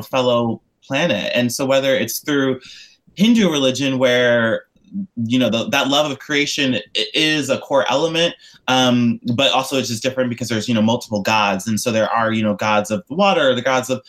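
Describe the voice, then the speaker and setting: A young man from the United States, talking about his activist work in an interview, earnest and rambling with lots of fillers and restarts. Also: mid-range voice. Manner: earnest and expressive. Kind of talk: casual interview conversation.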